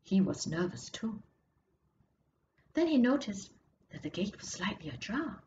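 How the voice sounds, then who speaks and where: A woman reading a story excerpt aloud with expressive, measured and dramatic narration. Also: medium pitch. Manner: measured, dramatic, drops to hushed tones for suspense. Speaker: author reading their own story. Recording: muffled audio with clear background noise.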